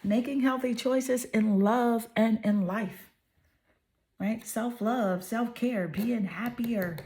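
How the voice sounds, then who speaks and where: A woman tarot reader with a hoarse voice, giving an affirming reading straight to her viewers and often checking in with "right?". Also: fairly high pitch, takes it slowly, warm tone. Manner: soothing, affirming, repeats "right?".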